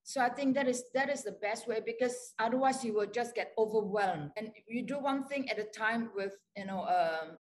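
A woman's voice: on the high side, normal speed, hardly any pauses, poor audio. An older woman on a video call, answering interview questions thoughtfully with many restarts and fillers. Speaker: guest lecturer. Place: bright office, indoors.

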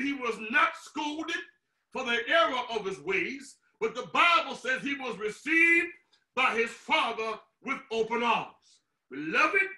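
A pastor in his sixties delivers a sermon in a very high, piercingly bright male voice. He is emphatic and declamatory, breaking his phrases with deliberate pauses. The sound is roomy, picked up some way from the microphone. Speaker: pastor preaching. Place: small room at home. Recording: roomy echo, distant microphone.

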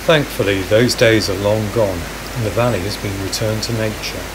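A man reading a scripted documentary narration in a calm, measured voice-over. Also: lowish voice, noisy background. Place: voice-over, recorded apart from the woodland footage.

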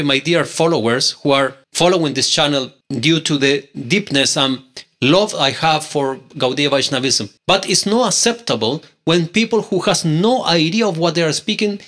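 A man's voice: a little high, a bit bright. A man in his thirties with a Spanish accent, a native Spanish speaker teaching scripture online, speaking emphatically and indignantly with repeated rhetorical questions into a close microphone. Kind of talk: online scripture study, argumentative. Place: indoor room, screen-share with webcam. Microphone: pink handheld mic on stand, close.

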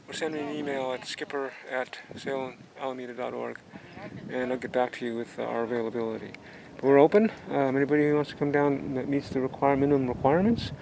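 Gravelly man on a windy marina dock. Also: mid-range voice. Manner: rambling, many fillers, upbeat and hopeful. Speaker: skipper of a youth sailing nonprofit. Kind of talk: casual walkthrough vlog. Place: outdoors on a marina dock beside a sailboat. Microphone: handheld camera mic. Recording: loud wind and water noise outdoors. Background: wind and boat ambience.

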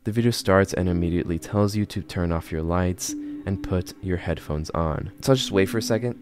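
A young man with a very low voice hosts a horror video in casual, scripted commentary. He is close on the mic, and music plays clearly behind him. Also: brisk. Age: 20s. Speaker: YouTube horror video host. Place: bedroom, indoors. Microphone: close studio microphone on a boom arm.

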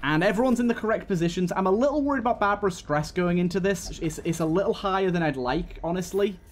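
A man's voice: high voice, quick pace, a little hiss or hum. A man hosting a let's play, giving running commentary on a game. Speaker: gaming video host. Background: game music.

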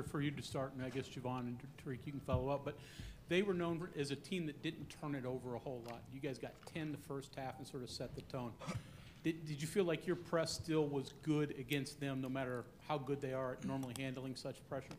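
A man's voice: medium pitch, steady tone, quick pace, background noise. A male sports reporter asking a long question at a press conference.

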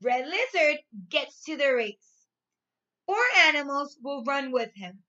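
A woman in her thirties, a primary school teacher reading and explaining to young children in an expressive, clearly enunciated way. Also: high-pitched, slow pace, dull audio. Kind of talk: read-aloud story and lesson for young children. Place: small furnished room at home, indoors.

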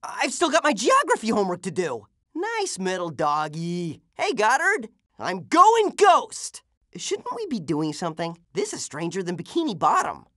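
A man's voice: sits high, wildly swooping pitch, normal speed, sharp tone, no echo, clean studio sound, heavily acted. A man voicing a plucky, wisecracking teenage half-ghost boy superhero with a youthful voice for a video game. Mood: wry, chatty. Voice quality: youthful, boyish. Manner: exclamatory, wisecracking. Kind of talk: video game character voice clips.

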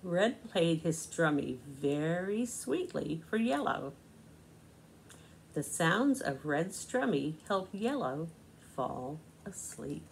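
Older lady reading a kids book, real slow n sing-song, bit creaky, home video with some noise. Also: low-pitched. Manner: deliberate, expressive, explaining as she goes. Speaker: children's storybook reader. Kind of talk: read-aloud storytelling to young children with asides. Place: small living room, indoors. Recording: noisy amateur home recording.

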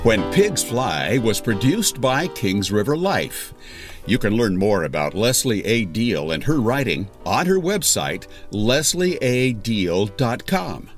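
A man's voice: on the low side, sing-song, slow. A man reading scripted podcast credits as an announcer. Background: guitar music.

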